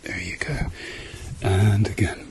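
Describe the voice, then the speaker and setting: A man speaking softly to the listener as a doctor in an ASMR medical roleplay, murmuring reassuringly and often slipping into whispers. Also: on the low side, steady tone, slow, slight background noise. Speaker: ASMR performer playing a doctor.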